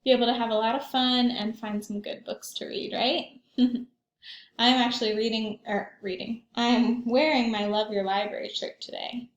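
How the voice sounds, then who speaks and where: A woman's voice: on the high side, slight background noise. A woman school librarian doing a read-aloud for young children, explaining things and putting questions to them. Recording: echoey room.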